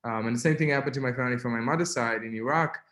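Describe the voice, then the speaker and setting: A young man with an Israeli accent speaks as a webinar guest, telling his story haltingly with many fillers and restarts. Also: medium pitch, fairly bright tone, echoey room. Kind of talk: personal testimony in a webinar.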